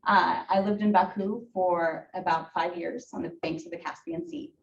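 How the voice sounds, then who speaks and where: Ukrainian-born woman in her thirties, native Russian speaker, guest talk with fillers; low gravelly voice, taken from across an echoey hall. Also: steady tone. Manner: halting, many fillers and restarts. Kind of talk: personal talk to a club. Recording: echoey room from far off, dull.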